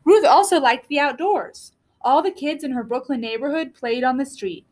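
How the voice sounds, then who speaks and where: A woman reading a children's book aloud as a storyteller, with deliberate, expressive phrasing. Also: high voice. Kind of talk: read-aloud storybook narration.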